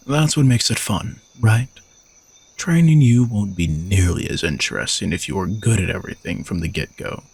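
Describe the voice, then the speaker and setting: A man's voice: mid-range voice, a little mellow, breathy edge. A man playing an arrogant, sardonic knight who is a reluctant royal bodyguard, teasing and condescending in a romantic audio roleplay. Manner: teasing, dry, exasperated sighs, condescending.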